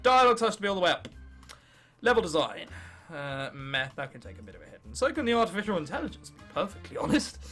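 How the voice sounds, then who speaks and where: Animated, high-voiced young English man. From England. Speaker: gaming video host. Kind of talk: casual let's play commentary, reading game text aloud.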